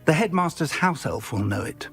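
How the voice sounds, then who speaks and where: A man's voice: fairly high pitch, very animated, moderate pace, soft background music. A man playing a wry, kindly, scholarly professor in a video game, formal and thoughtful in his speech. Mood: thoughtful, urgent. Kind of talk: video game cutscene acting.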